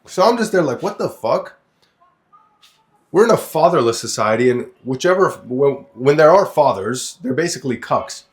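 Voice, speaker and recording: A man's voice: medium pitch, animated, normal speed. A young man from Lebanon co-hosting a podcast, talking bluntly and crudely, swearing as he tells stories and gives opinions. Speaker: podcast co-host. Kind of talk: casual opinionated podcast chat.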